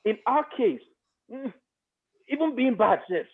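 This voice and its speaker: A man's voice: extremely high pitch, animated, unhurried, phone-quality sound, crowd noise. A man with a Nigerian accent giving his political opinions emphatically, repeating phrases for stress.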